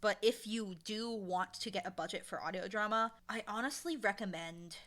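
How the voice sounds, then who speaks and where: A woman talking casually and with opinions as an interview guest, animated and acting out quotes to make her points. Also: medium pitch, normal speed. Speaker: audio drama showrunner, interview guest.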